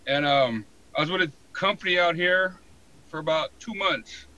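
An American man in his forties, telling his story casually in an interview with a halting, colloquial delivery full of "you know". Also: a little high, sharp tone, dull audio. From San Diego, born in Hawaii. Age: 40s. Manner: halting, colloquial, frequent 'you know'. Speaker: podcast guest, fire protection inspector.

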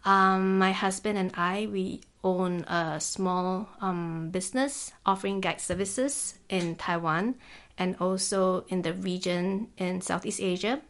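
A woman's voice: lowish voice, steady tone, slow, a bit bright. A woman, a climbing guide chatting as a guest in an office, easygoing and full of "like" fillers. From Singapore. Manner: easygoing, peppers speech with "like", laughs often. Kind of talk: casual interview chat.

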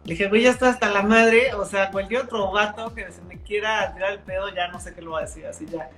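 A woman's voice: medium pitch, fast, fairly bright tone, roomy sound, soft background music. A woman chef with a Mexican accent chatting as a guest, laid-back and full of slang. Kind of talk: casual chat.

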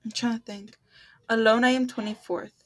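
A woman co-hosting a casual podcast, reading riddles aloud slowly and quietly and laughing between them. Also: fairly high pitch, fairly even intonation.

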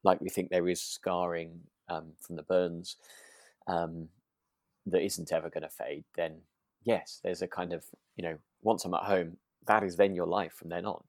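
Low voice podcast host just chatting, kind of halting and rambly, really dry sound. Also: flat delivery, darkish tone, no echo. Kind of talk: casual chat reviewing a radio drama.

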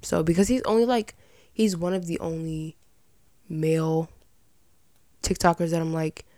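A young woman with a slightly raspy voice and some vocal fry at sentence ends, chatting casually and giving her opinions as a podcast host. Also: low-pitched, steady tone, unhurried, warm tone, no room sound, studio quality. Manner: informal, rambling, opinionated. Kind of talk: casual chatty solo commentary.

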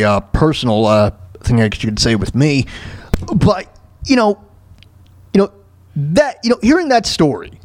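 A man hosting a talk show, delivering an opinionated solo rant in a halting, repetitive, emphatic way. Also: sits high. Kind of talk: opinionated solo rant reacting to news clips.

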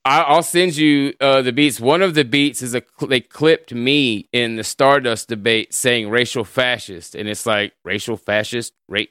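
A man hosting a livestream show, chatting casually with a co-host and reading out donations. He swears a lot, laughs often and trails off quietly at the end of sentences. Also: medium pitch, moderate pace, few pauses, clean tone, no echo, clean studio sound. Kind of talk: casual chat.

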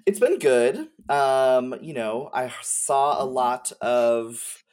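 A man talks casually as a guest on a gaming podcast. His voice is mid-pitched, and he chats loosely with frequent filler words. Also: lively intonation, very slow, darkish tone, clear, resonant tone. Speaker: podcast guest, game streamer. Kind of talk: casual chat.

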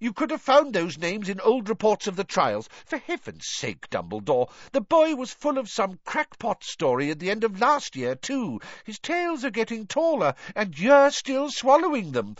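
A man's voice: sing-song, measured pace, few pauses, fairly bright tone, no room sound, lo-fi sound, full character voice. A man voicing a blustering, pompous, stubborn male wizard politician in an indignant audiobook performance. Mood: affronted, angry. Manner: indignant, pompous, shrill when outraged.

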